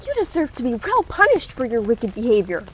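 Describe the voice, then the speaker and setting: A woman's voice: on the high side, huge pitch swings, brisk, slightly rough, full character voice, poor audio. A woman voicing an angry, scolding nursemaid in a dramatized storybook reading, rude and exclamatory. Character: angry, scolding nursemaid, shrill and easily flustered.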